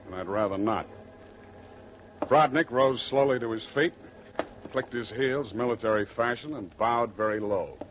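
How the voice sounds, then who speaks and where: An actor playing a tough, wry private detective in clipped, deadpan old radio style. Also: male voice, medium pitch, normal speed, slight background noise, close to an everyday voice. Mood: grim narration. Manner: clipped, hard-boiled, deadpan. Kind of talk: 1940s radio acting, hard-boiled narration. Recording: dull old radio recording.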